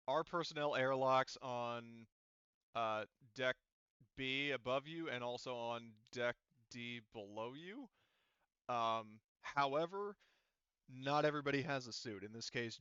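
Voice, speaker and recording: A man's voice: medium pitch, bright, forceful tone, clear, resonant tone, dry recording, muffled recording. A man running a tabletop roleplaying game as game master, talking casually and thinking aloud as he narrates and explains rules.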